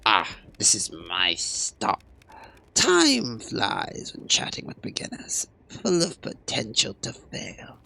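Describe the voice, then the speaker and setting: A hoarse man voicing a sardonic veteran male bank teller who mentors a new recruit with theatrical, drawn-out mockery. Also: lowish voice, huge pitch swings, pushed and bright, slight background noise, heavily acted. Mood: mocking. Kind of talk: video game character voice.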